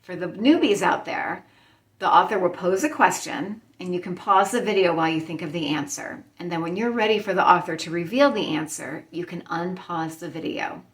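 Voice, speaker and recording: A woman's voice: lowish voice, normal speed. A woman library staff member introducing a trivia video in a friendly, explanatory way.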